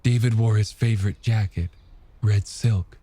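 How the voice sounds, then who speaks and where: A man's voice: lowish voice, fairly even intonation, measured pace, dark tone, husky, no echo. A man voicing a wistful, nostalgic married woman in an audiobook, reminiscing with some hesitation. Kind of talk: audiobook character dialogue.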